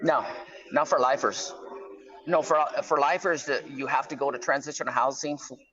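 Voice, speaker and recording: A man's voice: medium pitch, fairly even intonation, normal speed, bright, forceful tone. A middle-aged man talking casually as a guest on a phone call, explaining things conversationally and often checking in with "right?". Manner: conversational, explanatory, peppers talk with "right?" and "you know". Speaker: guest calling from prison. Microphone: phone line. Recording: slightly dull, lo-fi phone call.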